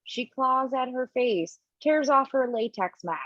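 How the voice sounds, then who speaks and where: A woman narrating stage directions at a table read from home, lively and expressive, with small slips. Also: high voice, unhurried, no room sound. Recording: dull lo-fi, dry.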